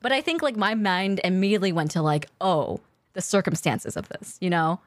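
A woman co-hosting a casual talk show for streamers, chatting with playfully cynical, deadpan teasing. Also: fairly low pitch, normal speed, no echo. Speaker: podcast co-host and streamer. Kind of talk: casual chat, reacting to online posts.

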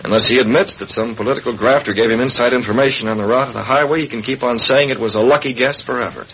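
An actor playing a principled, authoritative newspaper publisher, crisp and earnest, in an old radio drama. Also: male voice, mid-range voice, steady tone, quick pace, sharp tone. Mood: wry, serious. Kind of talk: 1940s radio drama acting. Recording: noisy old radio recording.

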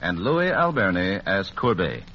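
A man's voice: sits low, very animated, a little slow, very noisy. A man narrating an old-time radio drama as its announcer. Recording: muffled old radio recording. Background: music.

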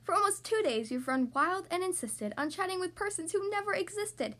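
A woman's voice: high voice, animated, normal speed, a bit bright. A woman voicing a big, haughty female kangaroo in a storybook, scolding and scornful.

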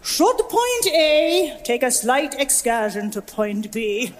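A woman performing a comic theatrical stage monologue as a mock lecture, with emphatic pauses. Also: on the high side, big pitch swings. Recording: reverberant concert hall, clear noise.

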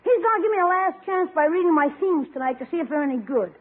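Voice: extremely high pitch, lively intonation, fast, narrow, tinny audio. A performer playing a scheming, whiny young schoolboy who pleads and exclaims in 1940s radio comedy style, on an old broadcast. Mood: coaxing.

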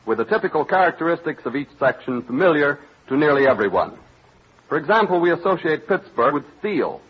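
An American man reading formal, scripted copy as a 1930s radio announcer, in measured oratorical phrases. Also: mid-range voice, husky. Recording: muffled vintage 1930s radio broadcast.

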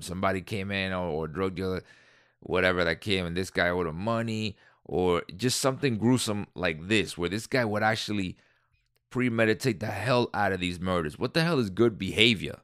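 Deep-voiced man reading and ranting. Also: fairly bright tone, smooth, no echo, studio quality. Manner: indignant, rambling asides with restarts. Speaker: podcast host. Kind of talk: reading aloud and commenting, casual.

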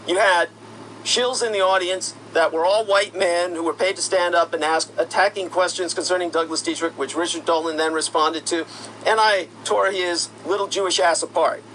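A man with a notably high voice, talking in a long, aggrieved monologue. He sounds accusatory and indignant and keeps pushing up into sharper, louder bursts. There is a faint hum behind him. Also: fairly even intonation, normal speed, rough recording. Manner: indignant, accusatory, rising to shouty outbursts. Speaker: commentator. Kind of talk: monologue rant.

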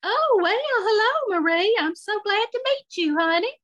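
A woman's voice: extremely high pitch, expressive, measured pace, fairly bright tone, pitched above the performer's own voice, exaggerated voice. A woman storyteller voicing a chatty, nosy seventy-seven-year-old lady who calls everyone darling. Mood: teasing. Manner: gushing, outspoken, calls everyone darling and honey.

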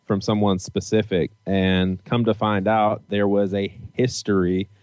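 A man's voice: on the low side, level tone, unhurried, darkish tone, dry recording. A man co-hosting a podcast, speaking in a matter-of-fact way in casual chat.

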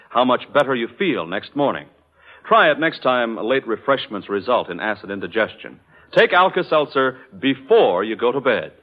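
A man delivering a scripted vintage radio advertising pitch, emphatic and addressing listeners as friends. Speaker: commercial announcer. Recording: dull vintage radio broadcast recording.

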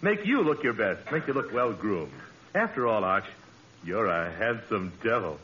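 An actor playing a smooth, flattering pal who delivers a crisp, persuasive sponsor pitch within a 1940s radio comedy. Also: male voice, normal speed. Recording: muffled, hissy old radio broadcast.

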